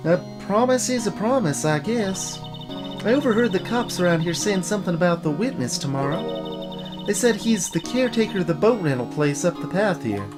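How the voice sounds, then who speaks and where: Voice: medium pitch, animated, moderate pace, music in the background, lightly acted. A let's play host voicing a brash, folksy young woman with an American Southern drawl. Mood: resigned.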